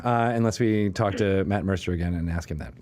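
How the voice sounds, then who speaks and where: A man's voice: low-pitched, fairly quick, a little mellow. A man hosting a casual interview show, bantering in a jokey, rambling way. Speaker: podcast host.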